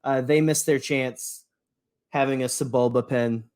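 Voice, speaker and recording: A man's voice: mid-range voice, fairly even intonation, a little slow, darkish tone, slight background noise. A man co-hosting a fan podcast, chatting casually in a rambling, jokey way. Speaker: podcast co-host.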